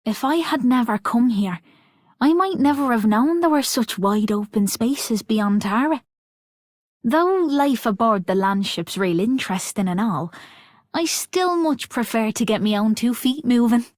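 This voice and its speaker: A woman's voice: a little high, animated, unhurried, no room sound, clean studio sound. A woman voicing a spirited, restless young runner with rustic Irish speech in a game. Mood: wistful, spirited. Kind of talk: game character voice acting.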